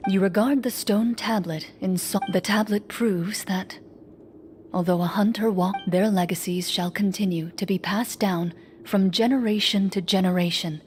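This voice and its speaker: A woman playing a game-master narrator in a video game, telling a fantasy tale in measured, dramatic fashion. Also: medium pitch, fairly even intonation, a little mellow, faint music behind, natural voice. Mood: solemn.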